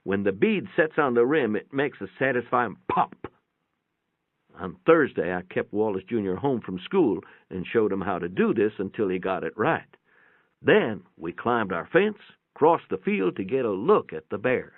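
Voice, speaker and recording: A man's voice: fairly low pitch, very animated, normal speed, dry recording, phone-quality sound. A man giving a folksy, plainspoken dramatic reading of a first-person story, with a radio-like sound. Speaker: narrator, reader. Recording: band-limited, radio-like sound.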